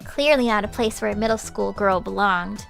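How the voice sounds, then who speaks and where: A woman streamer reading a visual novel's narration aloud, breaking off into loud, excited reactions. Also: medium pitch, a little fast, vocal fry. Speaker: vtuber streamer. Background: game background music.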